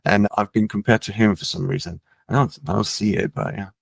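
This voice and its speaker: A middle-aged man from France, a musician guest in a casual interview, talking in a relaxed, musing way with many fillers. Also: fairly low pitch, fairly quick, gravelly, dry recording, clean studio sound. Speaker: musician and label head, guest.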